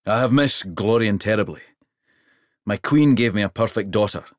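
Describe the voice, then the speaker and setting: A man's voice: lowish voice, animated, moderate pace, dry recording, clean studio sound, lightly acted. A man voicing a jovial, towering king who speaks in a hearty, commanding way. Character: booming jovial giant of a king.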